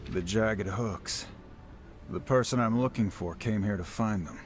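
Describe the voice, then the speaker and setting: Deep-voiced, dry, brooding swordsman, played by an actor. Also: a little slow, a little hiss or hum, close to an everyday voice. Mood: serious. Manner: dry, guarded, laconic. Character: brooding hard-drinking wandering swordsman, wry loner. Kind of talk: understated video game acting.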